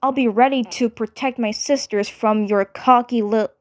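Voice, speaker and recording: A woman's voice: a little high, steady tone, moderate pace, lightly acted. Woman as a scornful, tough cartoon tomboy heroine. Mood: threatening.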